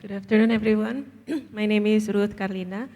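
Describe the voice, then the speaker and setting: A woman's voice: mid-range voice, steady tone, moderate pace, mellow. A woman officer giving a formal self-introduction at a meeting. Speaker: regional organisation officer. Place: large reverberant meeting room. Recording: reverberant hall, faint noise and clicks.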